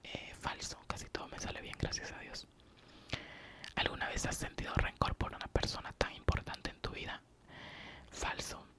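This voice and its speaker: A man from Oaxaca with a Mexican accent, whispering an ASMR question-and-answer session with short true-or-false replies. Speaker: ASMR youtuber.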